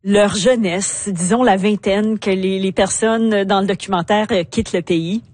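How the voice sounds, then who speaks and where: A woman's voice: mid-range voice, fairly even intonation, a little slow, a little mellow, no echo. A woman hosting a radio interview, asking composed questions. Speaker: radio host.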